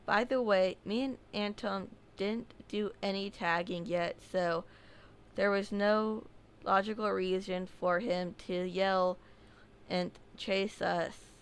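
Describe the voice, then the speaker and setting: A woman narrating a submitted true horror story, reading slowly with small slips and casual asides. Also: mid-range voice, fairly even intonation, clear, resonant tone.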